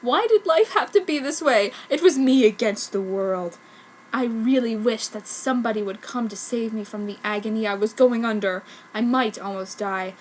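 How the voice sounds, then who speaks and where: A woman's voice: a little high, slight background noise, low-quality recording. A woman giving a theatrical amateur reading of fan fiction. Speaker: amateur fanfiction reader.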